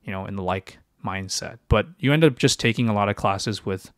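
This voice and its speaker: A man's voice: lowish voice, fairly quick, no room sound, clean studio sound. A young man in his twenties, born in China, co-hosting a podcast and telling his life story in a hesitant way, with lots of fillers and restarted sentences. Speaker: podcast co-host, physician. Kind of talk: casual podcast chat.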